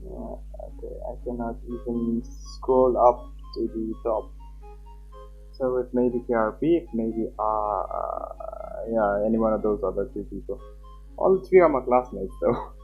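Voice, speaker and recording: A man's voice: fairly low pitch, animated, a little slow, gravelly. A young man chatting informally on a game livestream and reading text aloud. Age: young adult. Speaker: live streamer. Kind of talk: casual game stream chat and reading aloud. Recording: slightly muffled, lo-fi stream mic. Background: game music.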